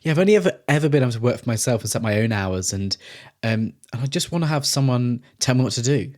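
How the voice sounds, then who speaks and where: A man hosts a reaction video, speaking in a mid-pitched voice. He swears freely and keeps his commentary sarcastic and wry. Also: animated, fast talker, a little mellow.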